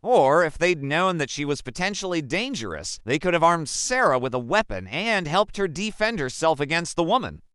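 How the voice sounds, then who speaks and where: A man giving scripted, measured narration as a commentator. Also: fairly high pitch, big pitch swings, fairly bright tone, clear, resonant tone, dry recording, studio quality.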